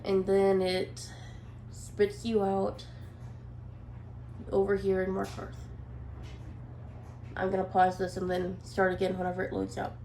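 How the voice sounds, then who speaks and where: A woman's voice: mid-range voice, unhurried, gravelly, pauses often, a little hiss or hum. A woman with a head cold, sounding nasal, chatting casually through a gameplay session with lots of ums. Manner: relaxed, hesitant with many ums. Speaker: gameplay commentator. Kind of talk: casual let's play commentary. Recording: echoey room with faint ambience.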